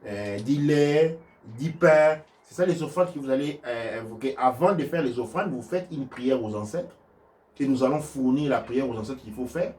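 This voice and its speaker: A man giving religious teaching on offerings and prayers, speaking haltingly with many restarts and sudden shifts between loud and soft. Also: mid-range voice, recorded from a distance. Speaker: spiritual teacher. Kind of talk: religious teaching in a live stream.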